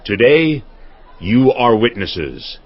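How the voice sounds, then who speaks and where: An actor playing a kindly, dignified landowner in a dramatized audio Bible, speaking in an earnest, formal way. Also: male voice, mid-range voice, sing-song, slow pace, a faint crowd. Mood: proclaiming. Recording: dull audio, music underneath.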